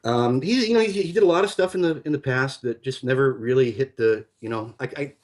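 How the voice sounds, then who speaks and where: A man's voice: medium pitch, brisk, darkish tone. Male guest, mid-range voice, loose rambling storyteller, heavy on fillers and restarts. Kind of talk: conversational storytelling.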